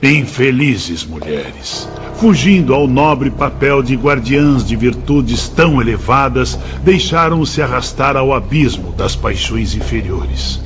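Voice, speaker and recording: A paternal, moralising spirit master in a Brazilian-accented radio drama. His mid-pitched voice is highly inflected, with a slightly dull sound over music. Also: animated, slow pace, few pauses. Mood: grave, instructive. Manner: formal, moralising, emphatic. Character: grave, paternal male spirit instructor.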